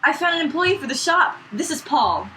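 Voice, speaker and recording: Excitable female co-host, bright and lively, very high pitch, dramatic banter; faint noise on the mic. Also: brisk. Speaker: let's play co-host. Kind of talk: casual banter with playful character readings. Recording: slightly noisy makeshift mic.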